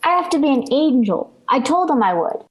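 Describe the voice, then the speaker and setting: A woman's voice: high-pitched, big pitch swings, a little fast. A female performer playing a dramatic, pleading, bratty little girl in a 1940s radio sitcom. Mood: desperate pleading. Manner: melodramatic, insistent, plaintive. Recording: vintage 1940s radio broadcast.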